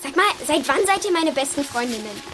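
A woman's voice: sits high, lively intonation, fairly quick, fairly bright tone, faint music behind. A woman acting an eager, spirited schoolgirl daughter in a children's audio drama, with youthful, colloquial delivery. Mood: excited, boastful. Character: spirited, pop-star-obsessed schoolgirl daughter.

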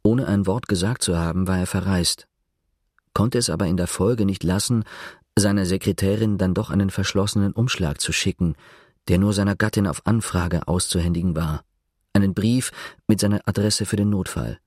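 An actor as the narrator of a literary radio drama, retelling another man's confession with measured, detached irony and a husky voice. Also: sits low, darkish tone, no echo, studio quality. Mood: dryly ironic.

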